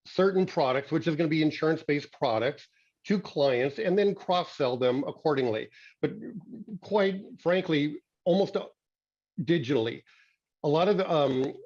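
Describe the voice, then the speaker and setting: Hoarse male attendee, higher voice, halting delivery, muffled call audio. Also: a little mellow. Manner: halting, searching for words. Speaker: attendee asking a question. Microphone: video call. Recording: dull video call audio.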